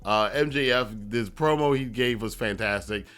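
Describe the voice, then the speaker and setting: A man with a medium-pitched voice hosts a wrestling review. He talks in a casual, conversational way, wandering into asides and repeating phrases for emphasis. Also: normal speed, fairly bright tone, smooth.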